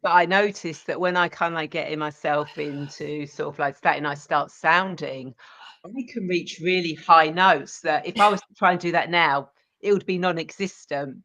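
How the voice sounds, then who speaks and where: A man's voice: on the high side, steady tone, normal speed, sharp tone, muffled recording. Brief: male podcast host, casual tone, rambling, agreeable. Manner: rambling, restarts phrases, agreeable. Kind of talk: casual interview chat.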